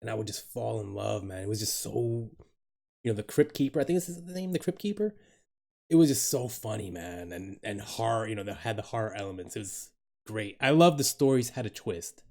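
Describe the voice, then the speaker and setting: A man's voice: lowish voice, lively intonation, brisk, gravelly, a little mellow. Male streamer, casual, loose and swears easily, laughs a lot while chatting with viewers on a home desk mic. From Dominican Republic. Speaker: live streamer.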